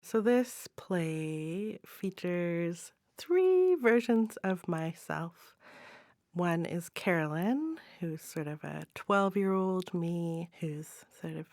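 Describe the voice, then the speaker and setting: A woman's voice: on the low side, animated, takes it slowly, no echo. Thoughtful, tentative woman in studio chat. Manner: thoughtful, tentative, hedging with soft trail-offs. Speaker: guest playwright and spoken word poet. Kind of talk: casual interview chat. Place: studio, in person.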